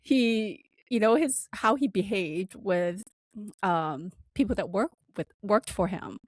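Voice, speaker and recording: A woman's voice: medium pitch, slow pace, dry recording, clean studio sound. A woman with a slight non-native accent, co-hosting a podcast and telling a work story in casual chat, acting out the quotes. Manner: animated storytelling with laughs and acted-out quotes. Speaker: podcast co-host.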